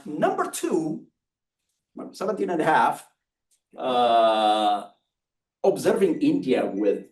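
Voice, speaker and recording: A mature man from Ethiopia with an Ethiopian accent, giving a public lecture with personal storytelling in a deliberate, hesitant, emphatic way. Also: fairly high pitch. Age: middle-aged or older. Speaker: university engineering lecturer. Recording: roomy, distant microphone.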